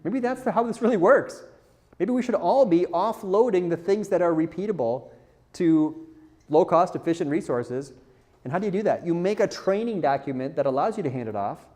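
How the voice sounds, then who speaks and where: A man's voice: sits high, animated, normal speed, darkish tone. A man speaking as a conference presenter in an informal, candid, self-deprecating style, putting questions to his audience. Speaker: conference speaker, content marketer.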